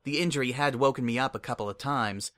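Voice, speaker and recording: Measured dramatic audiobook reader. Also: mid-range voice, a bit bright. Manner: measured, reflective narration. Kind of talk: dramatic first-person fiction reading.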